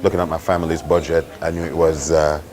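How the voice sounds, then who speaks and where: A Jamaican man with a very deep voice who speaks quickly. He chats easily and casually, like a radio host sitting in as a guest. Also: little pitch movement, darkish tone. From Westmoreland, Jamaica. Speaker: radio morning show host, interview guest. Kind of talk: casual interview talk.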